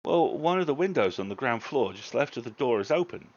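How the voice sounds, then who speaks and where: A man voicing a measured, observant college fellow in a storybook reading, deliberate, slightly hesitant and matter-of-fact. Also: mid-range voice, expressive, lo-fi sound, pitched above the performer's own voice, natural voice.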